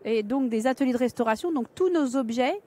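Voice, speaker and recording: A woman's voice: high voice, expressive, brisk, warm tone. A woman in her thirties, a museum curator, explaining her views on a conference panel into a handheld mic, with some hesitant fillers. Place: large conference stage indoors.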